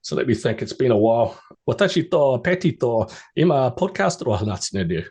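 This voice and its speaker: A man's voice: medium pitch, very animated, brisk, darkish tone, breathy edge. Chatty middle-aged New man. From New Zealand. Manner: rambling storyteller with restarts and stammers. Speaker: podcast guest. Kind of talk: casual interview chat.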